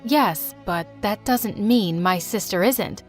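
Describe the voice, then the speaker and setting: A woman's voice: medium pitch, takes it slowly, faint music behind, close to an everyday voice. A woman voicing a grown mother who gave up her twins, speaking earnestly. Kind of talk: scripted storytelling dialogue.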